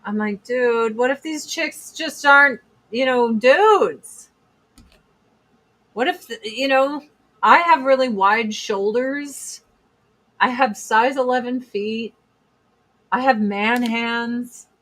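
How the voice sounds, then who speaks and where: A woman in her forties with a deep voice, chatting bluntly and profanely on a casual livestream while doing her makeup. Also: slow pace, fairly bright tone, rough recording. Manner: blunt, profane, sarcastic, reads chat comments aloud. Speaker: livestream host.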